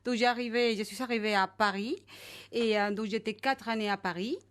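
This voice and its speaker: A woman's voice: fairly high pitch, fairly even intonation, a little fast, fairly bright tone. A native Spanish-speaking woman with a Chilean accent, answering a radio interview as a guest, searching for words in hesitant non-native phrasing. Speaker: interview guest, image coach.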